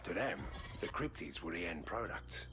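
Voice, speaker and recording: An actor playing a menacing, calculating mastermind in a video game, narrating gravely and often sinking toward a near whisper. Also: male voice, deep voice, very animated. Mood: confiding, resolute. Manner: measured, ominous, dropping to near whisper. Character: menacing, calculating male mastermind, ex-bioweapons program, grave storyteller. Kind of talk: dramatic scripted narration. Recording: loud music behind the voice. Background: cinematic game music.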